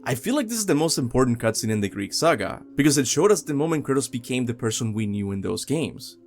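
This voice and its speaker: Animated man doing video game commentary. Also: mid-range voice, fast. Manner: enthusiastic, emphatic, addresses viewers directly. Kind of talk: scripted video essay commentary. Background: music.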